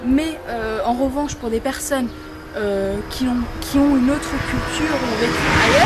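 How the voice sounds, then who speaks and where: A woman's voice: sits high, noisy background. A woman from France giving her opinion casually as an interviewee, hesitant and often rephrasing herself. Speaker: interviewee in a street survey.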